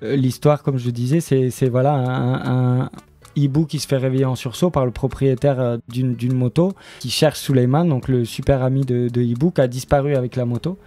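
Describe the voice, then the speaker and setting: A man with a mellow, relaxed voice at a middle pitch, explaining as an interview guest. His delivery is halting and spontaneous. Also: faint music behind. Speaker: studio member presenting an audio fiction series, interview guest.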